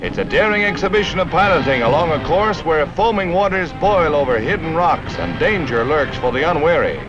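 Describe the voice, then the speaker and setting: Male American newsreel narrator, 1950s style: dramatic, very animated, over loud music on a dull old soundtrack. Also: fairly high pitch. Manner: brisk, dramatic announcer delivery.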